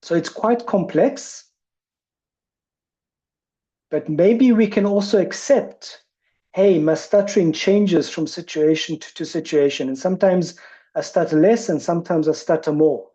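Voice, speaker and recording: A woman's voice: on the low side, measured pace, dark tone. A woman presenter who stutters, talking reflectively about her speech on a video call. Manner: stutters with repetitions and blocks, thoughtful. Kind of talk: reflective talk. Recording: muffled video call audio.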